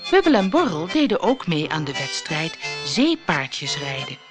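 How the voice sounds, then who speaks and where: A woman reading a scripted children's audiobook in an expressive storytelling manner. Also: on the low side.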